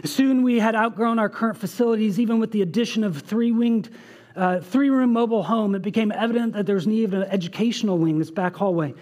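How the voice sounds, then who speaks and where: A man in his thirties delivers a sermon from a church stage in a fairly high voice. He speaks earnestly, reading a passage aloud and breaking off for casual asides. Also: fast talker, a little mellow. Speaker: pastor. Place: church stage with band behind.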